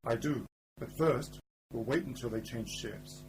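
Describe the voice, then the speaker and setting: A man's voice: medium pitch, a little slow, noisy background, lightly acted. A man playing an eccentric, wry older time traveller in a radio play, with a whimsical, theatrical delivery. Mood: sly, conspiratorial. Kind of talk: hobby radio play acting. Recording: amateur radio play under loud music.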